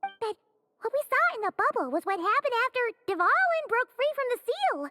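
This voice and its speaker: A woman voicing a small, naive, curious fairy companion in a video game, with a squeaky childlike voice. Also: very animated, normal speed, background noise. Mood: puzzled. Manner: talks about self in third person. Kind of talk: video game character acting.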